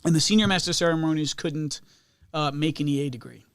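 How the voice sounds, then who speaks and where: A man's voice: a little high, fast, smooth, no room sound. A man talking casually as a guest on a podcast, recorded in a studio. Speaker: podcast guest, Freemason lodge member. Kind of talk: casual chat.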